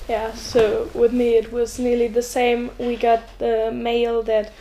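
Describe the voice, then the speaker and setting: A woman's voice: on the high side, monotone, a little slow, warm tone, slight background noise. A sixteen-year-old young woman with a German accent, giving casual answers as an interview guest. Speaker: exchange student guest.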